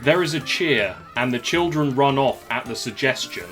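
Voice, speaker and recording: A man reads scripted story narration for an audio drama. His voice sits at a medium pitch, with quiet music running underneath. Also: expressive, normal speed.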